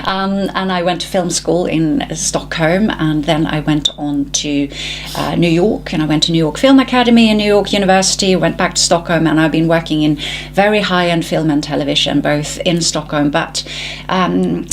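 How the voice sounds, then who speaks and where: A woman's voice: deep voice, moderate pace, a little hiss or hum. A woman from Sweden in her forties, chatting as an interview guest about her work, with many fillers. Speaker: guest, film trainer. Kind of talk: interview conversation.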